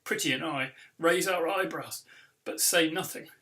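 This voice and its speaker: A British man, an author, giving an expressive reading of a children's story. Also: on the high side, measured pace, recorded from a distance. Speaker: author reading own book.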